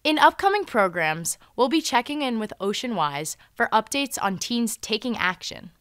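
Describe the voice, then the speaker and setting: A woman's voice: a little high, very animated, moderate pace, fairly bright tone, dry recording. A teenage girl reporting in a news studio, reading scripted interview questions.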